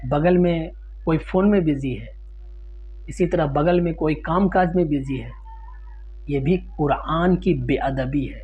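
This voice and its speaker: Man giving religious instruction over loud hum. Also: medium pitch. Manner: didactic, explanatory, restating points in simpler words. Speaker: Islamic religious teacher answering a ruling question. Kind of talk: informal religious lecture citing sources. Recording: dull lo-fi sound with loud hum.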